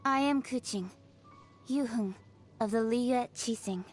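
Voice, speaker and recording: A confident young female official from a video game, with a highish voice. She is brisk, principled and articulate, speaking in an assured, businesslike way over music. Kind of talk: scripted video game character voice lines.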